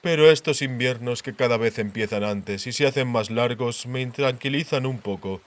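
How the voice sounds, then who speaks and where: A man voicing a grave, worldly king in an audiobook, speaking in a measured, deliberate, cautionary way. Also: medium pitch, close to an everyday voice. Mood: worried, reflective. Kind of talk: audiobook character dialogue.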